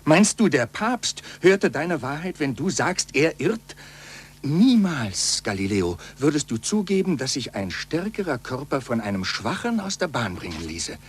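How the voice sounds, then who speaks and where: An actor playing a grave, worried friend in a 1940s radio play, speaking in solemn declamation. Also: male voice, a little high, very animated, measured pace, husky, exaggerated voice. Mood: agitated, warning. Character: Galileo's worried male friend, grave and foreboding. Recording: faintly noisy, humming 1940s radio recording.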